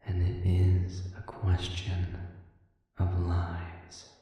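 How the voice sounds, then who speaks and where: In a cavernous, heavily reverberant space, a sadistic demon prisoner played by a man speaks from a distance. His voice is a soft, dark murmur, extremely unhurried. He is calm and manipulative, taunting silkily with deliberate pauses, in the manner of an audio drama. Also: low-pitched, heavily acted. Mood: cool, accusing. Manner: measured, deliberate, insinuating, with rhetorical questions and pauses. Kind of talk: scripted audio roleplay monologue. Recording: distant, reverberant, echoing chamber sound.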